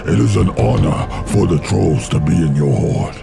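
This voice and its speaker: An actor playing a proud, grim troll warlord with a gravelly voice and solemn manner in a video game cutscene. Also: male voice, deep voice, lively intonation, moderate pace, full character voice. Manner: solemn, non-standard folksy grammar. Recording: loud music underneath.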